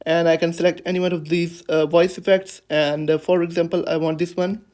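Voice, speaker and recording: A man's voice: on the high side, flat delivery, fairly quick, a little mellow. A man hosting a casual how-to tutorial, narrating steps with hesitant, improvised phrasing. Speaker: tutorial host.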